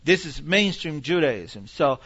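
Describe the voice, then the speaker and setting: Bright-voiced man preaching informally. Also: fairly high pitch, slightly rough, dull audio. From Argentina. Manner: animated, halting, repeats phrases. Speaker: visiting preacher. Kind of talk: informal religious teaching with audience questions.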